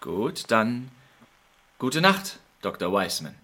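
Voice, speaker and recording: An actor playing a self-assured, probing gentleman detective in a German radio crime drama, polite and formal. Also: lowish voice, sing-song, takes it slowly, background noise.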